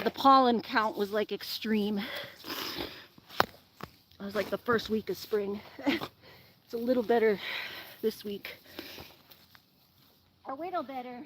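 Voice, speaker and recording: A woman's voice: on the high side, fairly bright tone, slight background noise. A woman who sounds sniffly from allergies, chatting in a rambling way to a live audience while she shovels compost outdoors. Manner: rambling, says "like" often. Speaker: animal channel host. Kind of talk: casual chat to a live audience while gardening. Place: outdoors in a farmyard garden.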